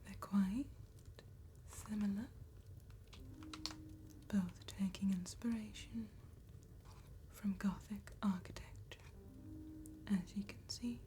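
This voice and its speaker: A woman playing a seductive, predatory female vampire tattoo artist in a breathy, intimate ASMR whisper, slow, teasing and flattering. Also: mid-range voice, a little hiss or hum, close to an everyday voice. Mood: soft, attentive. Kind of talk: ASMR roleplay, soft spoken and whispered.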